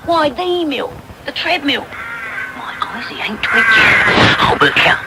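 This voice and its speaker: Radio play: cheeky young Cockney-style London pickpocket boy, very animated pitch, swaggering slang, heavy static. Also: unhurried. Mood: cocky, teasing. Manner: slang-heavy, teasing patter. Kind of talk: radio drama acting. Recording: noisy medium-wave radio taped off air.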